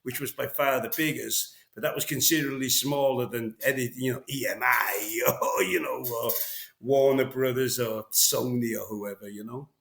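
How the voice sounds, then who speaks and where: A man's voice: mid-range voice, fairly even intonation, normal speed, creaky, fairly bright tone. Welsh man, rambling in a roomy space. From Wales. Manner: rambling, frequent fillers and restarts. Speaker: guest, veteran drummer. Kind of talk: casual interview conversation.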